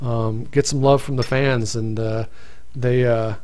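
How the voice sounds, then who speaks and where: A man's voice: medium pitch, steady tone, a little slow, a little mellow. Rambling man hosting a live stream. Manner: rambling, many restarts and fillers. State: voice getting tired. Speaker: stream host. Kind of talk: casual live-stream chat.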